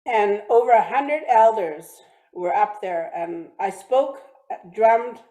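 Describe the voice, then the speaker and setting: Halting woman from British Columbia, echoey. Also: medium pitch, lively intonation, warm tone. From British Columbia, Canada. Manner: halting, many restarts and fillers. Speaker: guest speaker. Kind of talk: talk with slides. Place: church hall or online meeting.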